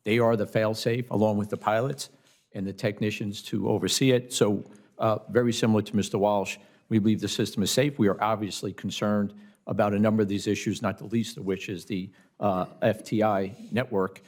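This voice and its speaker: An American man giving formal testimony as a union representative, choosing his words deliberately. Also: on the low side, steady tone, a little mellow. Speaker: air traffic controllers' representative testifying.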